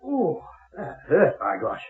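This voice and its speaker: Through a thin, tinny old radio sound comes an excitable husband with a very husky voice. He swings animatedly through polite, old-fashioned exclamations, a bold man of radio melodrama. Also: on the high side, slow, exaggerated voice. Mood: shaken. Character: excitable, bold young husband confronting a ghost. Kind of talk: old radio drama acting. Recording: tinny, narrow-band old radio recording.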